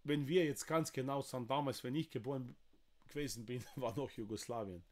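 A man in his forties from Slovenia, speaking German with a Slovenian accent, hosting a livestream from a wood-panelled room with halting, emotional talk full of fillers. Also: medium pitch, a little mellow. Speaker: livestream host. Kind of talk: livestream appeal to viewers.

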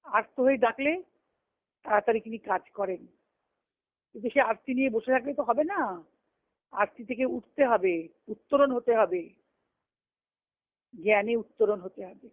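Woman, high-pitched voice, spiritual teacher, didactic scripture discourse, repeated phrases, thin phone-call sound. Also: unhurried, pauses often, no echo. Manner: didactic, explaining terms phrase by phrase with repetition. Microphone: conference call line. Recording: muffled lo-fi conference-call phone audio.

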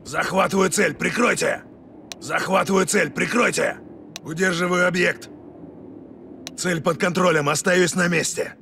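Gruff male special forces soldier, video game callouts, high-pitched for a man, commanding, clipped urgent phrases, faint background noise. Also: fairly even intonation, measured pace, pushed and bright, exaggerated voice. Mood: focused. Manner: terse, urgent, barked military callouts.

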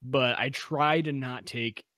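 A young man co-hosting a casual tech podcast, explaining eagerly over a video call on a boom-arm studio mic in a home workshop. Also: fairly even intonation, sharp tone, no room sound. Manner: quick, enthusiastic explaining. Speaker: podcast co-host. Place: home workshop room with pegboard.

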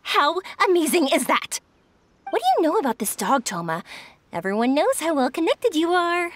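A woman's voice: high-pitched, very animated, moderate pace. A woman voicing a cheerful young fireworks-maker who is bubbly, playful and teasing, in video game voice acting. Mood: upbeat.

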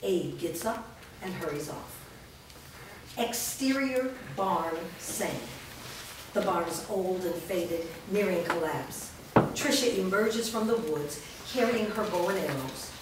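A woman's voice: fairly low pitch, lively intonation, slow pace. A woman reading stage directions as narrator at a staged play reading. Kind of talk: scripted narration. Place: indoor performance room, seated before an audience. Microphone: far camera. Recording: distant mic, very echoey noisy room.

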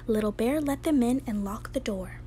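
A woman's voice: a little high, moderate pace, mellow. A young woman reading a children's story aloud expressively, in a home recording. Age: young adult. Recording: home recording with clear background noise.

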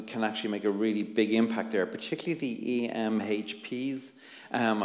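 Irish man speaking in an echoey hall. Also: on the low side. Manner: earnest, many restarts and fillers. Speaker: panelist, anti-bullying researcher. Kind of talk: panel discussion. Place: conference hall, seated on stage. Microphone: handheld mic. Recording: echoey hall with long reverb.

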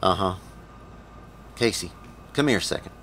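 An actor playing a weary, exasperated small-town sheriff with gruff, folksy authority in an audio drama. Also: male voice, low voice, expressive, moderate pace, a bit bright, a little hiss or hum. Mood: weary, stern.

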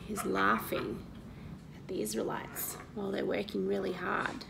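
A woman in her thirties reads a Bible story to children, explaining it and asking questions in an expressive, teacherly way. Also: mid-range voice, unhurried, creaky, over music, rough recording. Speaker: Sunday school story reader. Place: indoor living room at home.

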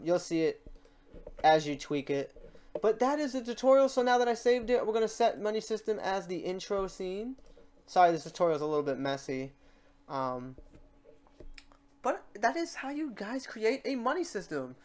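A tutorial host talking informally and rambling, thinking aloud through an unscripted software demonstration in a voice-over for a screen recording. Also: medium pitch.

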